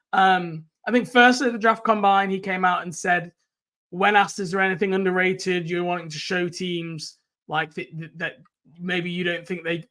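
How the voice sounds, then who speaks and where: A young English man hosting a sports podcast in casual chat, his voice croaky. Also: sits high, pushed and bright. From England. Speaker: podcast host.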